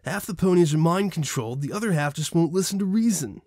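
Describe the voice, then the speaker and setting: Guy doing a bossy earnest pony captain, pitched up, exasperated, lively, dry sound. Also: fast, no echo. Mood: defeated. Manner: urgent, authoritative.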